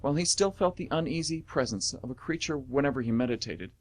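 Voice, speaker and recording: A man's voice: mid-range voice, animated, fast talker. A man working as host and narrator of a radio theatre show, announcing and reading scripted stories.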